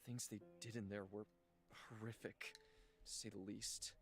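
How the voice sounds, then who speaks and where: An actor voicing a gentle, weary immortal healer haunted by old suffering, speaking slowly and softly in a dramatic visual novel style. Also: male voice, lowish voice, little pitch movement. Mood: somber, reflective. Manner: slow, halting, soft-spoken confiding. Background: background music.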